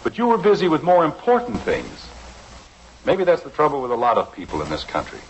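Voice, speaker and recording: An actor playing an earnest, stern, principled middle-aged American father in a measured, lecturing 1950s radio drama style. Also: on the high side, wildly swooping pitch. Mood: earnest, solemn. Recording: noisy vintage 1950s radio broadcast.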